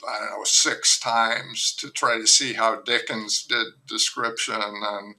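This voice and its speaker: Male author guest, gravelly and very bright tone, candid and self-deprecating, loose rambling delivery. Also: lowish voice, fairly even intonation, slow pace, poor audio. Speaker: novelist guest. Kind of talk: conversational interview.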